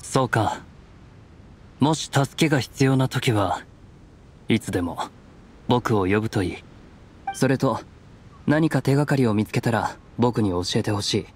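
A man's voice: medium pitch, unhurried, long pauses, a little hiss or hum, close to an everyday voice. An actor playing a stoic, guarded young nobleman in a video game, serious and restrained. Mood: calm, protective. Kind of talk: Japanese video game voice acting. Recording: studio voice over game music.

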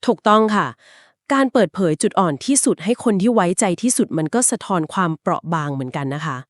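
A woman co-hosting a podcast, retelling an old legend conversationally and explaining it at length, with polite particles and short filler pauses. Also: mid-range voice, big pitch swings, no echo, clean studio sound. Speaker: podcast co-host. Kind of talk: conversational storytelling of a folk legend.

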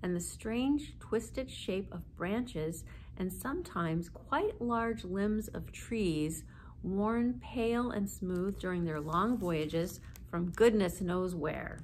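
A woman's voice: mid-range voice, takes it slowly, a little mellow, a little hiss or hum. A woman in her forties reading a children's story aloud expressively, seated indoors a short distance from the camera.

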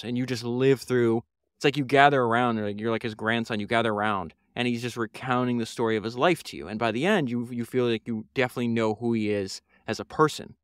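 Man, earnest rambling podcast talk, smooth resonant voice, dry close mic. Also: mid-range voice, no room sound. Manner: earnest, rambling, many fillers and restarts. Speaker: podcast host. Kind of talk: solo podcast book review.

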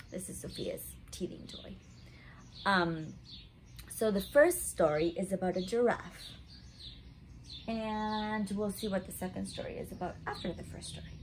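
A woman in her thirties hosts story time for little children at home. She reads slowly and talks to her listeners in simple asides and questions. There is clear background noise in the room. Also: mid-range voice. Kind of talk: read-aloud to young children with chatty asides. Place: home living room, indoors.

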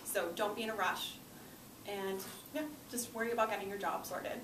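A woman's voice: medium pitch, fairly even intonation, moderate pace. A young woman in her twenties, co-presenting to students, chiming in with enthusiasm in a lecture room. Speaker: student group co-president. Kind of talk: casual presentation. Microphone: far camera. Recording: echoey room, far camera, clear room noise.

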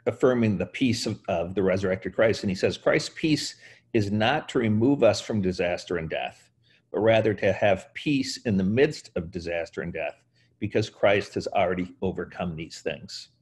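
Husky middle-aged guy on a headset from home, low voice, thoughtful. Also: moderate pace, a little mellow. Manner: thoughtful, quotes sources at length. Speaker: podcast co-host discussing preaching. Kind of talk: casual podcast discussion. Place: home room over a video call. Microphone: headset mic.

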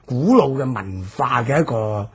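A man with a Hong Kong Cantonese accent, explaining history as a radio presenter with drawn-out phrase endings. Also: medium pitch, lively intonation, fairly bright tone, breathy edge.

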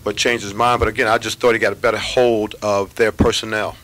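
A middle-aged man, a basketball head coach, answering reporters after a game in measured, unhurried talk full of fillers and pauses. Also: on the low side, little pitch movement, a bit bright, rough recording. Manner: measured, thinking aloud with many fillers. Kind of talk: postgame press conference answers. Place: press room with sponsor backdrop. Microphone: table microphones at a press conference.

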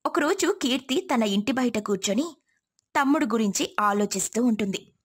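A woman's voice: fairly high pitch, expressive, moderate pace, slightly rough. A woman telling a moral tale as a scripted storyteller.

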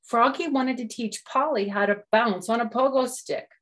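A woman's voice: on the high side, moderate pace, fairly bright tone. A middle-aged woman hosting storytime, reading a picture book aloud and adding simple explanations for young children. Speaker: storytime host. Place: small room at home.

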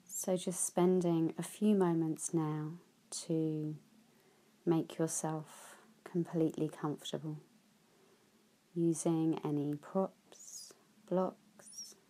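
Slow, soft-spoken woman guiding deep relaxation. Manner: soft, slow, with whispered phrase endings. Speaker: yoga nidra teacher. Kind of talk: guided relaxation meditation.

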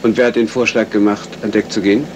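An actor playing a probing police inspector in a 1970s German radio crime play, firing clipped, insistent questions. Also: male voice, fairly low pitch, little pitch movement, quick pace, close to an everyday voice. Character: brisk, probing male police detective inspector. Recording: noisy vintage radio play recording.

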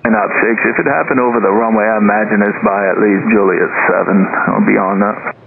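A man's voice: on the low side, fairly even intonation, a little fast, gravelly. A man working as an air traffic controller, giving instructions to a ground vehicle over a radio. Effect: radio transmission. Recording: noisy, tinny tower radio.

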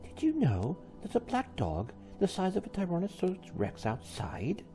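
A man voicing a frightened young girl in a storybook, in a high voice. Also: huge pitch swings, moderate pace, mellow, faint music behind. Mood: startled.

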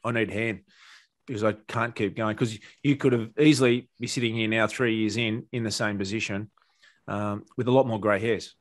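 A man's voice: on the low side, fairly even intonation, normal speed, dry recording, studio quality. A man working as a business coach, talking conversationally and explaining as he interviews a client. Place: small home office on a video call. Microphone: headset or desk mic, close.

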